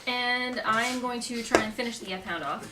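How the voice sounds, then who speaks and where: A woman's voice: on the high side, a little fast, over a noisy crowd. A woman playing a tabletop roleplaying game, talking casually through her moves and dice rolls. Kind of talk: casual chat during a game. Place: home, around a gaming table. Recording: noisy, echoey room, distant mic.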